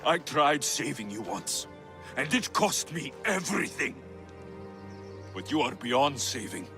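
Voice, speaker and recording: An actor voicing a brooding, wounded young warrior hero in a video game cutscene, earnest and heavy-hearted. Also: male voice, medium pitch, big pitch swings, unhurried, bright, forceful tone, exaggerated voice. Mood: anguished, resolute. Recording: music under the voice.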